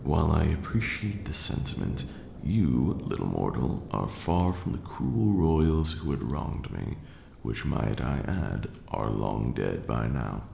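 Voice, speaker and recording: A man's voice: very animated, slow pace, hardly any pauses, warm tone, echoey room, slight background noise, heavily acted. A man playing an ancient, proud and protective vampire lord in an ASMR roleplay, speaking formally and dramatically. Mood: stern, softening. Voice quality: very deep, gravelly, breathy at times.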